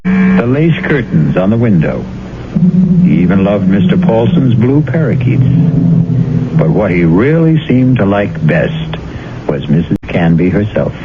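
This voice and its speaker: A man hosting and narrating an old radio mystery play in a measured, wry storytelling manner. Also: fairly low pitch, very animated, noisy background. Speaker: host and narrator. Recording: dull old radio broadcast over loud music.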